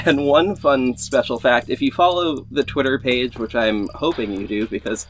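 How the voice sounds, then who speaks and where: A man's voice: mid-range voice, moderate pace. A man hosting a podcast, talking casually to listeners in a hesitant, rambling, gushing way about behind-the-scenes matters. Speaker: podcast host, audio drama creator and director. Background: music under the voice.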